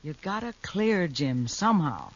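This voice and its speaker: An actor playing a frank, caring friend in a 1950s radio western, blunt and encouraging. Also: male voice, fairly high pitch, very animated, unhurried, exaggerated voice. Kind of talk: 1950s radio drama acting.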